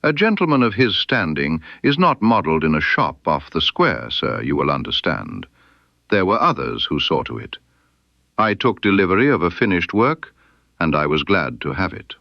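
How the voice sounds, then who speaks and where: A man as an unctuous, animated showman proprietor. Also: deep voice, moderate pace, creaky, no room sound, lo-fi sound, heavily acted. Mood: guarded, defensive. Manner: unctuous, formal, guarded. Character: evasive, obsequious male waxworks proprietor. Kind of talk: audiobook character dialogue.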